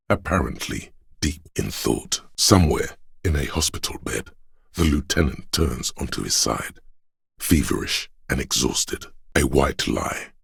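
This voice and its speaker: Gravelly, extremely deep, solemn narrator. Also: male voice, a bit bright, no echo, studio quality, heavily acted. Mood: grave, ominous. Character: solemn inner voice of police camaraderie, narrating. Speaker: game narrator. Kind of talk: literary second-person scripted narration.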